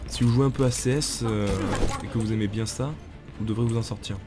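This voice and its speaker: A man's voice: fairly low pitch, brisk. Slangy man with a cold, over game music. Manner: slangy, excitable, talks to viewers. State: has a cold. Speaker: gamer. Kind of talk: casual gameplay commentary. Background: game music and sounds.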